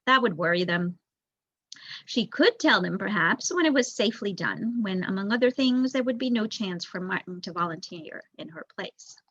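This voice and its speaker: A woman's voice: mid-range voice, animated, moderate pace, fairly bright tone. A young adult woman reading a children's novel aloud as a storyteller, on a dull recording. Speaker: volunteer story reader, student.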